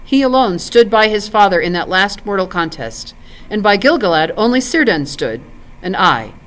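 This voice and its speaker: Storybook role: ancient, solemn elf lord played by a woman, stately archaic speech, expressive pitch movement. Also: normal speed, low-quality recording. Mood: grave, solemn. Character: grave, ancient male elf lord recalling old wars. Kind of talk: storybook character in read-aloud.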